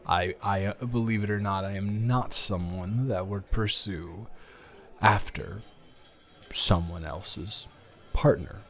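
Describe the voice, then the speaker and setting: A man plays a smitten, jealous-hearted guy in an improvised romance audio. His voice sits fairly low and moves very slowly, halting and awkwardly playful as he teases. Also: fairly bright tone. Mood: casual, joking. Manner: halting, hesitant, teasing.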